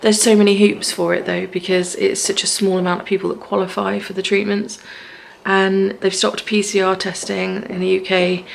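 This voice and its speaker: Woman vlogger, worn-out delivery, heavy vocal fry, narrow monotone pitch. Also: moderate pace, slight background noise. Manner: weary, low-energy talk. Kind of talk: personal video diary. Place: apartment at home.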